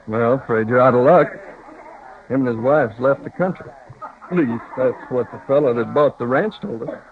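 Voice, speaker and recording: A man's voice: medium pitch, a little hiss or hum, close to an everyday voice. A man playing a drawling cowboy foreman. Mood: puzzled. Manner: slow, folksy cowboy talk. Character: puzzled, plain-spoken ranch foreman. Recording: tinny old radio broadcast.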